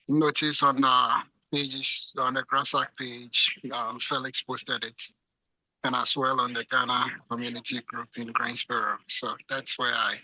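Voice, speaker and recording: A man's voice: mid-range voice, pushed and bright, no room sound. A man giving an informal self-introduction as a participant in an online video call. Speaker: forum participant, university administrator. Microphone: video call microphone. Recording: dull, dry online call audio.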